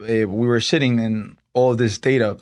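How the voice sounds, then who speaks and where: A young man from Honduras, a startup co-founder, explaining his company in a casual podcast interview with many pauses and fillers. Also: medium pitch. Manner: relaxed, explains step by step with many "um" and "like".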